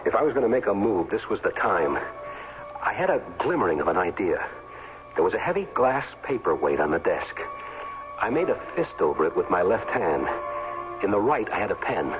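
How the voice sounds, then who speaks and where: Low-voiced, tough radio hero. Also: male voice, big pitch swings, normal speed, over music. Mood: tense, determined. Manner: plain-spoken, hard-boiled. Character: defiant retired football player, tough everyman narrator. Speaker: first-person narrator and lead. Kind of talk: 1970s radio drama acting. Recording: very muffled 1970s radio recording.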